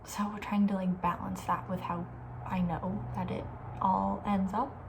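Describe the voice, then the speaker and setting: A soft-spoken woman host chatting casually in an ASMR style, often near a whisper, informal and thinking aloud. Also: mid-range voice, little pitch movement, a little slow, creaky, slight background noise.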